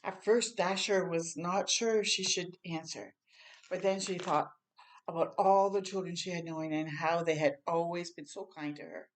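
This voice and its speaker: A woman reading a children's story aloud expressively, with animated asides and questions to young listeners. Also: on the low side, normal speed.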